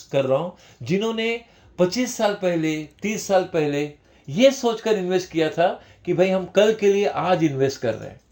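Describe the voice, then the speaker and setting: Man explaining stock investing, higher voice. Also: a little mellow. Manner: conversational, repeats "dosto", mixes English terms. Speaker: stock market educator. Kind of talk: informal finance talk to viewers.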